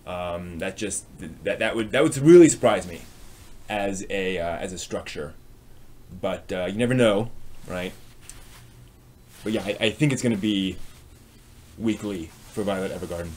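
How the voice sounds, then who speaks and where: Guy with a lowish voice hosting a stream, loose rambly chat with viewers. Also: normal speed, pauses often, a little mellow, slight background noise. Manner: rambling, reacting to chat as it comes. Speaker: live stream host.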